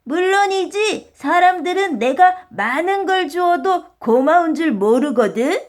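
A woman plays a grumbling nature spirit from a children's tale. The voice is high and slow, complaining emphatically with a resentful temper. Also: lively intonation. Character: grumbling talking tree and path, resentful of people. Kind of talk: children's storybook character voice.

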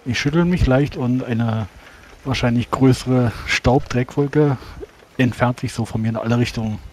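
A man plays a tabletop game, casually describing what his character does. His voice sits at a medium pitch, with a little noise on the recording. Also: moderate pace, a little mellow. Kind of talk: casual roleplay narration.

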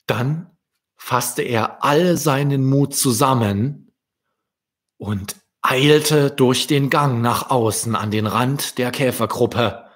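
A young man from Germany telling stories to children in a deliberate manner, as an author hosting his readings. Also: mid-range voice. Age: young adult. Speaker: children's author, channel host. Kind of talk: storytelling for children. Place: small indoor room. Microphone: close desk microphone with pop shield.

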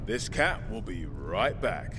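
A man's voice: on the low side, sing-song, slow pace, background noise. An actor playing a cheeky, sardonic British male survivor in a video game, wisecracking in slangy, theatrical fashion. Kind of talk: video game cutscene voice acting. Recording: music behind the voice.

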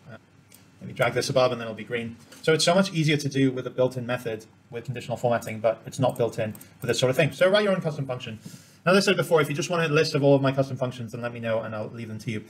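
Guy doing a spreadsheet walkthrough, talks quick, mid pitch, explains step by step as he clicks. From Cambodia. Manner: explains step by step while demonstrating. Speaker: spreadsheet tutorial presenter. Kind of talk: informal screen-share software tutorial.

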